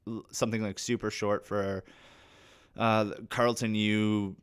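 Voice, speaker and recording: Clear-voiced Canadian man chatting animatedly. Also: fairly low pitch, level tone, measured pace. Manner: chatty, enthusiastic, acts out quoted dialogue. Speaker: podcast guest, film and theatre actor. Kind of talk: casual chat, animated storytelling.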